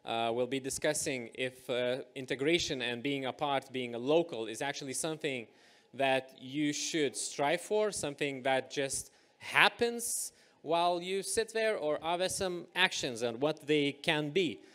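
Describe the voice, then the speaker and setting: A man's voice: medium pitch, unhurried. Energieke man die een paneel leidt. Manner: energetic, many uh fillers. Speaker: panel moderator. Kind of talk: hosting a live panel discussion. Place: event stage.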